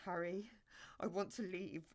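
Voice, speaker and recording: A woman's voice: lowish voice, steady tone, measured pace. An actress playing an uneasy, frightened young woman pleading to get away in an audio drama. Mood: frightened, angry. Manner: tense, swearing when pushed. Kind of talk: naturalistic audio drama acting.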